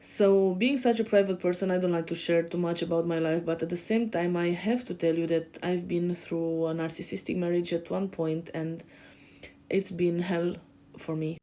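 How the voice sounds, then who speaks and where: Romanian-accented woman, soft and mellow. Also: fairly low pitch, steady tone, moderate pace. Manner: hesitant, many fillers and restarts. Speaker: podcast host and life coach. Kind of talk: personal introduction to camera. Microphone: facing camera.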